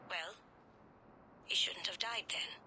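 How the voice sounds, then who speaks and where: A woman's voice: medium pitch, slow pace. A woman playing a wry, reluctant, grieving character in a video game, with a sardonic way of talking. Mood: bitter, grieving. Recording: clear ambient noise behind.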